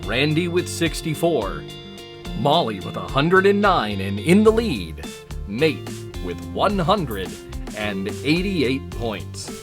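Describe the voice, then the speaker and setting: A man's voice: mid-range voice, big pitch swings, takes it slowly, over music. A man hosting a comedy game podcast, upbeat and chuckling as he reads scores. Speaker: game show host.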